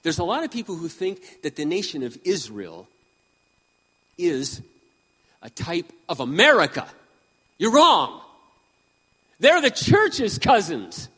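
A man around sixty preaching a sermon with impassioned emphasis, swinging between loud peaks and quiet asides. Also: high-pitched, huge pitch swings, slow, pauses often, slightly rough, long reverb tail. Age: 60s. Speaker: pastor.

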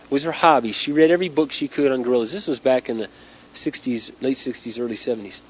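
A man's voice: medium pitch, quick pace, slightly rough. A man who is losing his voice, telling a story dramatically and conversationally as an inspirational speaker. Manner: dramatic, conversational, acting out dialogue. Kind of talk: storytelling talk to a live audience. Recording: dull lo-fi talk recording.

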